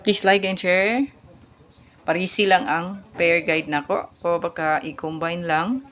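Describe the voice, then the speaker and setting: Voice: mid-range voice, steady tone, unhurried, fairly bright tone. A vlogger with a Visayan Filipino accent explains things casually and informally, repeating key words and addressing viewers politely. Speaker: vlogger giving number tips. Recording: clearly noisy amateur recording.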